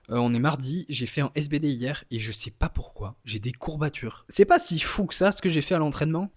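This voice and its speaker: A young man from France with a head cold, chatting casually to camera in a fast, informal vlog with frequent fillers. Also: mid-range voice. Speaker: vlogger and powerlifter.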